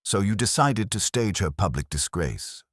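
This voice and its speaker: A man voicing a mild, courteous police inspector with a measured, conversational, quietly ironic manner in a mystery drama. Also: lowish voice, very animated, dry recording, clean studio sound, pitched above the performer's own voice. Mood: mild irony.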